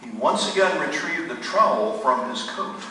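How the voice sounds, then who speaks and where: An actor playing a grave narrator, reading a macabre tale as scripted drama in a radio play. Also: male voice, fairly high pitch, animated, moderate pace, pushed and bright. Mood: suspenseful. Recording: echoey hall, far from mic, faint noise.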